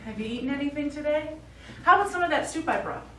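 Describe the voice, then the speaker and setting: A woman's voice: high-pitched, lively intonation, quick pace, a bit bright. A middle-aged woman playing a practical, concerned daughter in a live stage play, coaxing with mild exasperation. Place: small indoor theatre stage, recorded from afar. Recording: echoey theatre, distant mic, faint noise.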